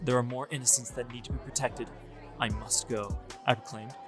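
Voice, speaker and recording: A man's voice: medium pitch, fairly even intonation, brisk, a crowd in the background, lightly acted. Guy acting as grandiose wannabe ninja vigilante telling his tale, super solemn and dramatic, music under it. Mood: grandiose, declaiming. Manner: solemn, melodramatic. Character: grandiose, delusional young would-be ninja vigilante. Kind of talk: dramatic first-person story narration. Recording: music behind the voice.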